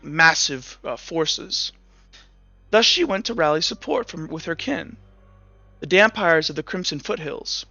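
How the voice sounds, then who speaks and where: A man's voice: a little high, pushed and bright, no room sound. A man running a tabletop game, telling a long lore story with frequent fillers and restarts. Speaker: game master. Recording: dull lo-fi sound with faint music.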